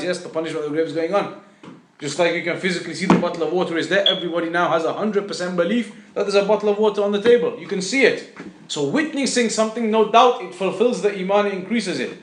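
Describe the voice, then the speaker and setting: A man with a high-pitched voice gives an explanatory religious lesson in an echoey room. He speaks off the cuff in a didactic way, restating key phrases to drive them home. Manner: didactic, repeats phrases for emphasis. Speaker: religious teacher.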